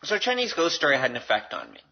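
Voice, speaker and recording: A man's voice: a little high, huge pitch swings, fast talker, creaky, breathy edge, lo-fi sound. A middle-aged Canadian man hosting a casual solo podcast, chatty and self-deprecating, given to rambling asides. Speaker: podcast host.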